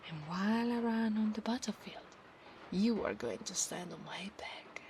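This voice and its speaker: A woman's voice: mid-range voice, moderate pace, darkish tone, slight background noise, lightly acted. A woman voicing a proud, insistent female centaur knight of a holy order in an ASMR roleplay, formal and commanding in her speech. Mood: solemn, fierce. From Italy. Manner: formal, commanding, haughty, archaic phrasing.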